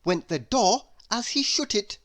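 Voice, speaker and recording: A storybook narrator reading a children's tale aloud in an animated, expressive way. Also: medium pitch, a little slow. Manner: animated, expressive, with emphatic sound words. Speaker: storybook reader.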